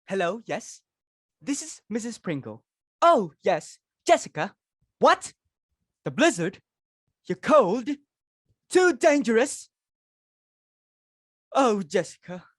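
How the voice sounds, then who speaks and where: A woman's voice: on the high side, sing-song, slow, long pauses, dry recording, studio quality, exaggerated voice. Woman playing an imperious, theatrical society hostess. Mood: gushing sympathy. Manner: theatrical, self-important, exclamatory. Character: imperious, frantic society hostess and domineering mother, married woman. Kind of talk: dramatic reading of a stage comedy.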